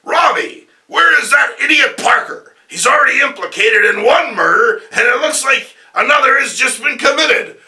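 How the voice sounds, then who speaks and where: A man voicing a blustering, outraged newspaper boss in a bombastic comic-book reading, shouting his lines. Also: very high voice, animated, normal speed, few pauses, echoey room, distant mic, pitched above the performer's own voice, heavily acted.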